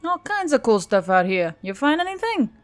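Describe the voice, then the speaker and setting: A woman's voice: high voice, very animated, moderate pace, soft background music, pitched above the performer's own voice. A woman voicing an upbeat, cheeky female centaur scavenger in an audiobook, breezy and teasing.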